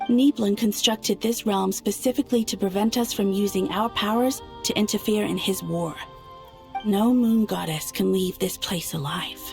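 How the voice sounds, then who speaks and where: A woman's voice: medium pitch, moderate pace, creaky, darkish tone, faint music behind, natural voice. A woman voicing a pragmatic, authoritative moon goddess in an anime game, formal and matter-of-fact. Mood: cool, skeptical. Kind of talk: anime game cutscene acting.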